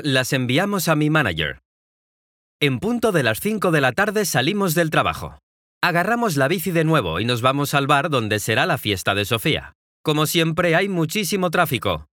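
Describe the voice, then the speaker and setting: A young Mexican man in his twenties reads a scripted learner's story in a clear, friendly voice that talks straight to the listener. His pitch sits a little high and rises and falls with plenty of life. The sound is dry and close, with no room around it. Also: sharp tone, no room sound. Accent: Mexican Spanish. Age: 20s. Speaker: podcast host. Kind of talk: scripted narration for language learners.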